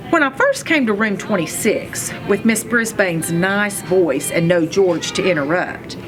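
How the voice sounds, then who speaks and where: A woman reads a children's story aloud at an unhurried pace, with lively swings in pitch. She leans on key words expressively, telling it in the first person. Music plays clearly behind her. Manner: expressive, deliberate delivery with emphasized words. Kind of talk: children's chapter book read-aloud, first-person narration.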